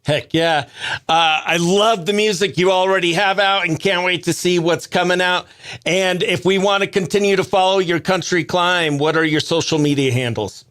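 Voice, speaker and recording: Bright, high-voiced upbeat American radio host, male. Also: normal speed, no room sound, clean studio sound. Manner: upbeat, effusive greetings and shout-outs. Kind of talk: chatty country radio show and livestream banter.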